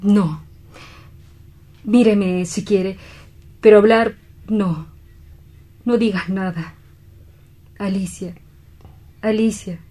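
A woman playing a grief-stricken, guilt-ridden doctor in a radio drama, solemn, halting and imploring. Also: medium pitch, steady tone, warm tone. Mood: tender, haunted.

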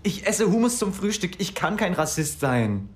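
A defensive teenage schoolboy. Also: male voice, sits high, very animated, moderate pace, slight background noise. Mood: pleading. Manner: defensive, pleading. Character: defensive male high-school student. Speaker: student. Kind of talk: radio drama acting.

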